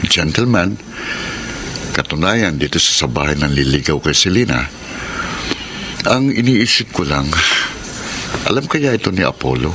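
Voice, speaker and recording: A man playing a measured, wary middle-aged Filipino uncle in a radio drama. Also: low voice, very animated, noisy background, exaggerated voice. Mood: measured, curious.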